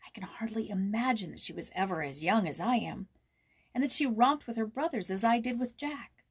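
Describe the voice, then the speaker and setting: A woman voicing an eager, tender-hearted, excitable young girl in a storybook, with an impulsive, gushing manner. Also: on the high side, a little fast, husky. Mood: tender, earnest.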